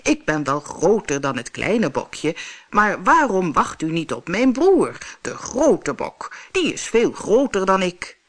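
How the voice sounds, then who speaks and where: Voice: medium pitch, big pitch swings, takes it slowly, a bit bright, full character voice. A reader voicing a middle-sized male goat who asks politely to be spared in a storybook tale. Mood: pleading.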